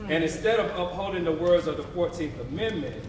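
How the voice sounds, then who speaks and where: A man with a fairly high voice narrates from a stage, explaining as he goes. He is far from the mic in a very echoey, reverberant hall. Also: expressive, a little fast, background noise.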